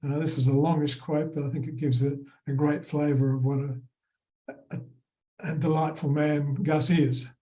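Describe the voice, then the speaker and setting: A man with an Australian accent giving a measured conference presentation. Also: on the high side, little pitch movement, warm tone. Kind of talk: formal presentation talk.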